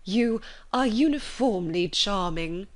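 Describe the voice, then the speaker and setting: A woman's voice: medium pitch, lively intonation, takes it slowly. A woman voicing a pompous, self-satisfied young man in a storybook, formal, wordy and awkwardly gallant. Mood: smug gallantry.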